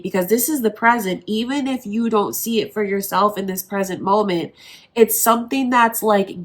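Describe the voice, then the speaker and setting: A woman reading tarot cards in an easy, conversational way, speaking right to the listener. Her voice sounds stuffed up and congested from allergies, sitting at a middle pitch for a woman. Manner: conversational, reassuring, talks straight to the viewer. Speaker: tarot reader. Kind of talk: casual tarot reading to camera.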